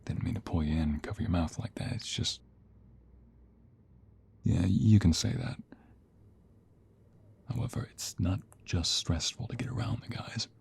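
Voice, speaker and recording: A man playing a protective, tender mobster in a hushed, intimate and urgent ASMR romance roleplay, with a breathy, gravelly voice. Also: low-pitched, unhurried, long pauses, heavily acted. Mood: tender, cautious. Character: protective, tender mobster rescuing his lover.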